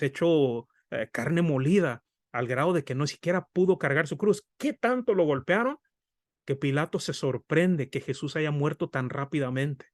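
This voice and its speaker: A man's voice: on the high side, no room sound, studio quality. A middle-aged man from Mexico with a Mexican Spanish accent, giving an earnest, conversational Bible study sermon. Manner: earnest, conversational, addresses listeners as brothers. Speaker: preacher.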